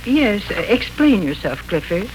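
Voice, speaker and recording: A woman's voice: medium pitch, very animated, moderate pace, lightly acted. An actress playing a grandmotherly, earnest and insistent family matriarch in a 1940s radio serial. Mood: insistent, upset. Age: older. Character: grandmotherly family matriarch, gentle but stubborn about change. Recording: noisy 1940s radio recording.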